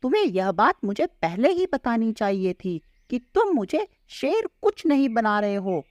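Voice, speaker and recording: Cartoon cow played by a woman: anxious, easily offended, dramatic, unhurried delivery, very dry close sound. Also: a little high, very animated, a little mellow, dry recording. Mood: indignant. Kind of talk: children's moral story cartoon dub.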